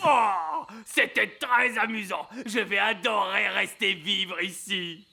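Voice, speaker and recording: Bright gravelly gnome king. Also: high voice, slow, full character voice. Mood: delighted. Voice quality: overbearing, falsely polite. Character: pushy, rude male gnome king. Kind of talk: French cartoon dubbing. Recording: cartoon soundtrack with music.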